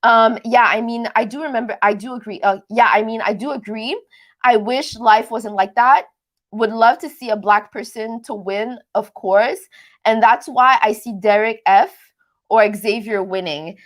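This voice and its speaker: Higher-pitched woman, casual rambling livestreamer. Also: moderate pace. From Canada. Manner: rambling, self-deprecating, stumbles over words; playfully switches between accents. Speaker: livestream host of a reality TV recap channel. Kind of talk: casual live chat, answering viewer comments.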